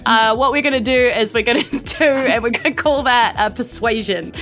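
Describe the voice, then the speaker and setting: Woman, game master, bright forceful voice, improvised narration, halting asides, faint music behind. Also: on the high side.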